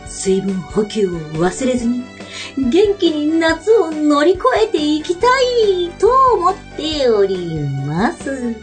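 A woman's voice: sits high, very animated, slow, soft background music. A woman presenting a web radio show with scripted, polite and playful greetings and announcements.

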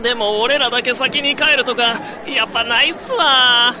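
A man's voice: extremely high pitch, normal speed, crowd noise, background noise. A man playing a young male college student who tells a ghost story in a radio drama. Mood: casual, puzzled. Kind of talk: radio drama narration with acted dialogue.

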